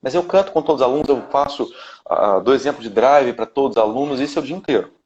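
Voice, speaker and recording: Brazilian man in his 30s, vocal coach guest, mid-pitched, chatty and rambling, dull lo-fi call audio. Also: fast, darkish tone. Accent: Brazilian Portuguese. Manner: rambling, frequent "né?" tags and restarts. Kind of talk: casual live-stream interview. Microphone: video call. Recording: dull lo-fi live-stream audio.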